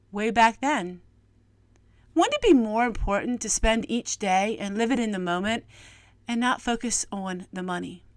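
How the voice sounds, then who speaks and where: An American woman vlogger sharing a personal, reflective monologue with viewers in an earnest, gently preachy manner, often posing rhetorical questions. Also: medium pitch, no room sound.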